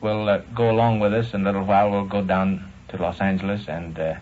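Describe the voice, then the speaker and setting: An American man with a fairly low voice talks like a patient police officer. He is polite and halting, choosing his words. The recording is dull and old, with some noise behind him. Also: flat delivery, darkish tone. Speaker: police lieutenant. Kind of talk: calm interviewing, official. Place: police station room. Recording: noisy, dull 1950s field radio recording.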